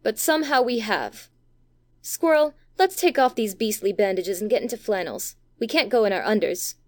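A man voicing a practical, unwilling young boy in a storybook reading, brisk and complaining. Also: sits high, animated, no room sound, clean studio sound, pitched above the performer's own voice. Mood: grumbling.

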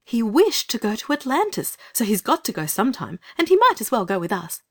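A man voicing a kind, earnest older girl in a storybook reading, polite and gently persuasive. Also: extremely high pitch, huge pitch swings, a little fast, pitched above the performer's own voice, exaggerated voice.

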